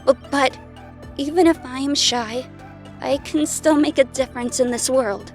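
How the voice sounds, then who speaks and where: Slow, shy, stammering girl over music, acted by a woman. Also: high-pitched, darkish tone. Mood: timid, earnest. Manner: hesitant, stammering. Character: shy, lonely, sad young girl interviewing for a school. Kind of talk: audio drama acting. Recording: music behind the voice.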